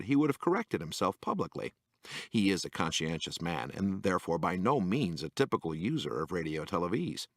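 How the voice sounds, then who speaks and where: Man, deep voice, very lively pitch, wry narration, dry close sound. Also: no echo. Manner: dry, wry delivery that lifts into quoted voices. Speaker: narrator reading a magazine essay aloud. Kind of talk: scripted narration.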